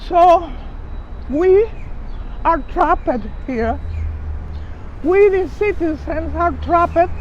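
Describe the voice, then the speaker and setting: Older woman, Cuban accent, press conference speaker. Slow, deliberate delivery on a dull outdoor recording. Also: extremely high pitch, slight background noise. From Cuba. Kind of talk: public testimony speech.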